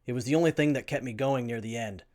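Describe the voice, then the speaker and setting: A man's voice: mid-range voice, quick pace, darkish tone, close to an everyday voice. A man playing an earnest, brainy young survivor who tinkers with armor, in a post-apocalyptic audio drama. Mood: weary, earnest.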